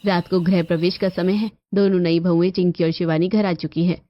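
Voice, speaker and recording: A woman's voice: on the low side, flat delivery, fairly quick, no echo. A woman reading scripted narration for a cartoon family story. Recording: dull lo-fi audio with music behind.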